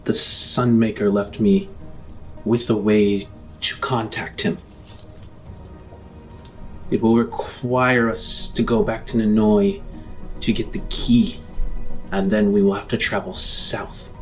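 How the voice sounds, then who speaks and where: A man voicing a grave, cautious starship pilot in a tabletop game, speaking in formal, deliberate phrases. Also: fairly low pitch, flat delivery, soft background music. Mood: solemn, reverent.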